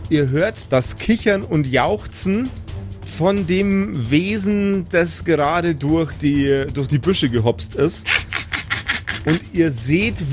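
A man improvising narration as game master of a fantasy tabletop audio play, drawing out his scene descriptions suspensefully. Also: on the high side.

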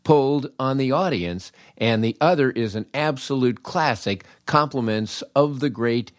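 A man's voice: medium pitch, a little slow, no room sound, studio quality. An older American man hosting a podcast and telling comic anecdotes in a wry, understated way. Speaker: podcast host.

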